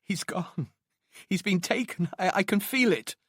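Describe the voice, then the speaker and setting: A distraught woman, acted by a male narrator in a crime audiobook. The voice is pushed high and wavers with desperation. She is fragile but insistent, heard in a dry recording. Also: moderate pace, pushed and bright, husky, no echo, full character voice. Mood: weak, despairing. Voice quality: weak, wavering.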